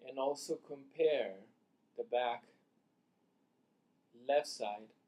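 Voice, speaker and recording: A man leading a guided meditation, speaking softly and deliberately in short phrases with long pauses, as a teacher giving calm instructions. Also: mid-range voice.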